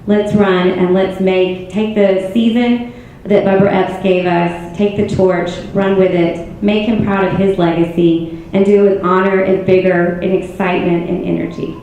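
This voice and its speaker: A hoarse woman in her forties with a Southern American accent, giving an earnest, self-assured campaign speech at a candidate forum. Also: medium pitch, little pitch movement, normal speed, mellow, a little hiss or hum. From Georgia, US. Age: 40s. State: hoarse, strained voice. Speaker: political candidate. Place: city auditorium. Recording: echoey hall, muffled sound.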